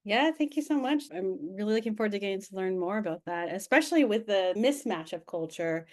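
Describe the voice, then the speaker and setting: A woman on a webinar panel who shares her own past as a former cult member, warm and thoughtful, over a video call. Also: mid-range voice, expressive, brisk. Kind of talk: moderating and personal testimony on a webinar panel.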